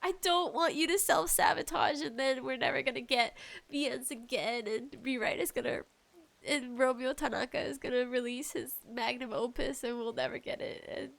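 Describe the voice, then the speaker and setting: A woman with a nasal, congested voice hosting a casual, rambling solo podcast in an animated, pleading way with self-deprecating asides. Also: high-pitched, normal speed, gravelly. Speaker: podcast host.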